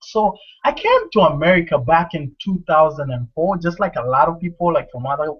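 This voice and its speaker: A man with a Zambian accent hosts a group video call, his voice sitting fairly high for a man. He talks in an upbeat, motivational way and often repeats himself. The sound is dull and lo-fi, as from a computer mic. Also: big pitch swings, brisk, breathy edge. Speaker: host. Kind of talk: casual online group call.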